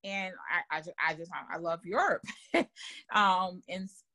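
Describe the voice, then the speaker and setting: A woman's voice: lowish voice, steady tone, moderate pace, bright, forceful tone. Earnest woman guest who repeats words. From Germany. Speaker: author and guest. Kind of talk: podcast interview chat.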